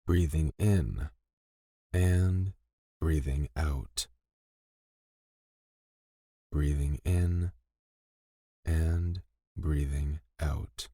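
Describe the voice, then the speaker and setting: Male meditation guide, low-pitched, gentle and unhurried delivery of scripted lines, very dry close sound. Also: steady tone, dry recording. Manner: gentle, soothing, deliberate with pauses between phrases.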